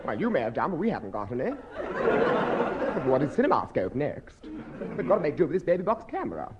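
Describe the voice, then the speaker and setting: An actor with a British accent playing a fussy, earnest amateur cameraman who speaks haltingly and precisely in an old radio sitcom. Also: mid-range voice, big pitch swings, over a noisy crowd, natural voice. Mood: matter-of-fact. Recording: dull, noisy old radio recording, audience.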